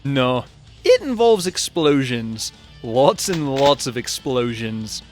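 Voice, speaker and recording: A man playing a wry, grumbling mechanical inventor hero in an animated fan dub. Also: medium pitch, takes it slowly, faint music behind.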